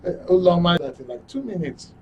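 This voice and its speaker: A man's voice: sits high, big pitch swings, brisk, slightly rough. A Nigerian man hosting a live call-in programme, speaking courteously with frequent hesitations. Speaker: radio and TV show host. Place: broadcast studio. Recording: distant mic, noticeable background noise.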